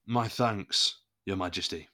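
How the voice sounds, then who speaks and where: A man's voice: low-pitched, unhurried, sharp tone, a little hiss or hum. An actor playing a respectful musketeer captain addressing his king in a period audio drama.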